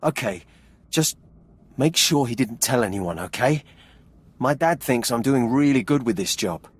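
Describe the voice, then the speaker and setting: A man's voice: medium pitch, animated, unhurried, breathy edge. An actor playing an anxious, naive young male prison guard in a video game, nervous and pleading. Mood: frightened pleading.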